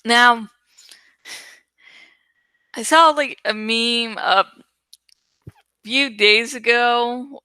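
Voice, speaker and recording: A woman's voice: on the high side, bright, forceful tone, clean tone, no echo. A woman hosting a book read-aloud show, giving informal fan commentary that rambles and digresses. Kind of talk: casual, rambling fan commentary between dramatic readings.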